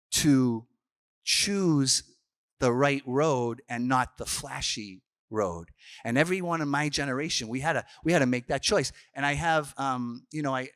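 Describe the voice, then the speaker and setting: A man's voice: mid-range voice, fairly bright tone, smooth, dry recording, clean studio sound. A man, a Franciscan priest telling stories in a casual youth workshop talk, full of restarts and fillers. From Boston, Massachusetts. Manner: chatty storyteller, frequent restarts and fillers.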